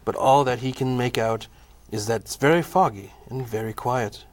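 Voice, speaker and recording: A man narrating a scripted comic audio play in a measured, formal storytelling manner. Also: medium pitch.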